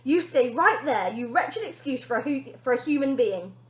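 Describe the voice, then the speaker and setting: A woman's voice: high-pitched, expressive, moderate pace, echoey room, voice pushed up. A woman voicing a snide, bullying TV nanny in a dramatic, snappy, sneering manner for a children's story. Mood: scornful.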